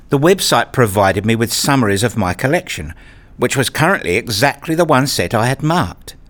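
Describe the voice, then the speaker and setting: A man reading scripted first-person narration for a radio play in a measured, understated, literary manner. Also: lowish voice, fairly bright tone. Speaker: narrator and lead character, a father.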